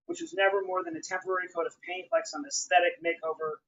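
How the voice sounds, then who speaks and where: A man's voice: sits high, big pitch swings, quick pace, fairly bright tone. A man giving a live spoken-word poetry reading, with an emphatic, rhythmic, declamatory delivery. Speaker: poet. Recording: dull, lo-fi live recording.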